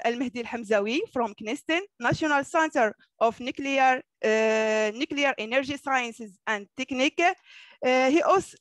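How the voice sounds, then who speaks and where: Woman in her thirties, physics lecturer, slightly high, dry headset mic. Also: no echo, rough recording. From Morocco. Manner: says "so yeah" often between points. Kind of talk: online seminar talk.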